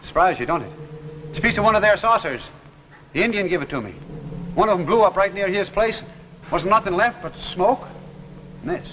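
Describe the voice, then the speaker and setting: High-pitched crazy old country coot. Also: male voice, expressive, brisk, long pauses. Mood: excited, insistent. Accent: rural American. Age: older. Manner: folksy, rambling, cackling, repeats himself. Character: crazy old small-town man, sly, excitable, saucer believer. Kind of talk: 1950s television drama acting. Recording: noisy, muffled 1950s soundtrack with long reverb.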